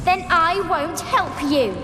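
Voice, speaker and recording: A woman's voice: very high-pitched, slow. A woman voicing a sly, playful child vampire in a video game, teasing and coaxing in an echoey hall with ambient noise. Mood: taunting, coaxing. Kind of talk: video game cutscene acting. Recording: echoey stone hall, noisy ambience behind.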